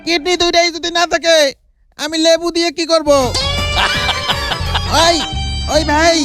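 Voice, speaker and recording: A naive Bangladeshi husband in a cartoon, pitched extremely high. He pleads and frets in a fairly flat melody. Music plays clearly behind him. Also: a little slow. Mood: panicked. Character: naive, flustered working-class husband hunting for lemons. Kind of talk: comic cartoon dub.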